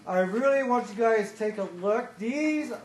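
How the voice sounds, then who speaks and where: A man giving a loud, upbeat committee report at a board meeting, with many fillers and pauses. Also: extremely high pitch, animated. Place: large conference room. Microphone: table microphone. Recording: echoey room, some background noise.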